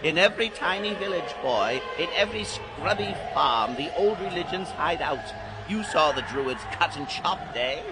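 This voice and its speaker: A man's voice: fairly high pitch, expressive, normal speed, heavy background noise. An actor playing a theatrical, mysterious older man who guides boys through history, declaiming grandly in an audio drama. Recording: loud music bed behind the voice.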